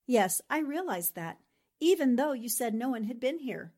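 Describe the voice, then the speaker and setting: A woman's voice: on the high side, very animated, moderate pace, a little mellow. A woman playing a shrewd, composed newspaperwoman in a 1940s radio drama, with a wry, knowing manner.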